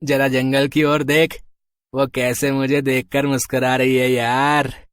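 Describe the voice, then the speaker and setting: A man's voice: medium pitch, flat delivery, measured pace, fairly bright tone, smooth, no room sound, clean studio sound, lightly acted. A man playing a cocky male office worker in a horror story. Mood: playful. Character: mocking, flirtatious male office worker and father. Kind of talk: Hindi horror story dialogue.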